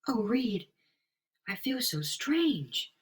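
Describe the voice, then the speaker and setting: A man's voice: high-pitched, big pitch swings, drawn-out words, slight background noise, pitched above the performer's own voice, heavily acted. A man voicing an anxious, devoted young heroine with pleading, melodramatic delivery in a comic-book reading. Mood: distressed.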